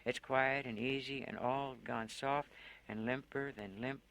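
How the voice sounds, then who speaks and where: A man voicing a patient male psychiatrist who speaks in a measured, soothing way and asks probing questions. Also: mid-range voice, fairly even intonation, fairly bright tone, rough recording.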